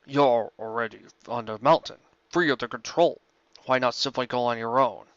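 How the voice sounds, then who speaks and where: Ancient dragon played by a man: huge, courteous, deliberate, mid-pitched storybook character, slightly muffled sound. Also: fairly bright tone, no room sound, close to an everyday voice. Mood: grave, measured. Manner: formal, measured, deliberate.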